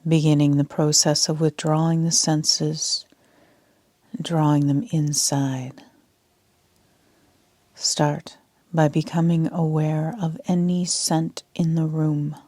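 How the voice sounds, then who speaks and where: A woman leading a sleep meditation as a yoga nidra instructor, speaking in a soft, half-whispered, calm and soothing way. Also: low-pitched, slow pace, pauses often, no echo.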